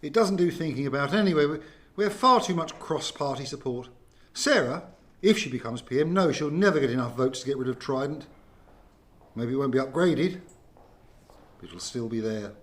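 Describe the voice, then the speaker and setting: A man's voice: mid-range voice, lively intonation, normal speed, lightly acted. A man acting in a radio drama as a mild government minister, hesitant and wry. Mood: reassuring.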